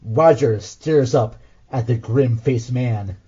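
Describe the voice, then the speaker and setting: A man's voice: mid-range voice, slow, mellow, breathy edge, highs cut off. A man reading scripted audio drama narration as the host.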